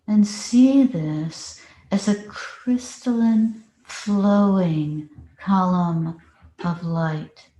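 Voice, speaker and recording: A woman meditation teacher leading a guided meditation with deliberate phrasing and long pauses. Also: mid-range voice, warm tone. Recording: roomy, echoing space.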